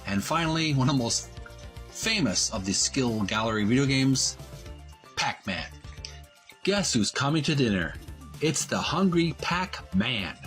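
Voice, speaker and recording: A man hosting a video channel, narrating a show-and-tell of a book, reading copy aloud and pausing between short phrases. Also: mid-range voice, fairly bright tone.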